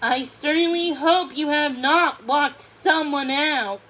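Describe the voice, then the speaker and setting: A woman's voice: high voice, slow pace, pushed and bright, voice pushed up, full character voice, low-quality recording. A woman voicing a stern, easily angered queen in a storybook, speaking in a demanding, imperious way. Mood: irritated.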